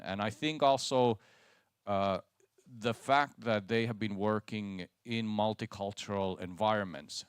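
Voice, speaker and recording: Male panelist, deep and resonant, dry sound; hesitant, restarts often. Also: clear, resonant tone, no room sound. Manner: halting with repeated restarts. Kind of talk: panel talk. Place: conference centre.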